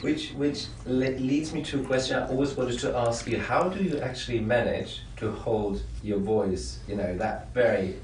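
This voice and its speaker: A man's voice: medium pitch, normal speed, few pauses, a little mellow. Distant, echoey man asking stumbling questions. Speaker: TV interviewer. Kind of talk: interview questions. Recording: roomy, distant mic with background noise.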